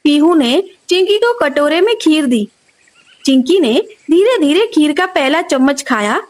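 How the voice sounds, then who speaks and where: A woman's voice: high-pitched, big pitch swings, measured pace. A woman narrating a scripted moral story for children as the storyteller.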